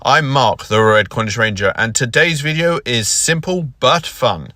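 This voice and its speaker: A man's voice: mid-range voice, normal speed, pushed and bright, dry recording. A man hosting a hobby video, delivering a prepared introduction to the viewers. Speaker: video host. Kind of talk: scripted video intro to camera.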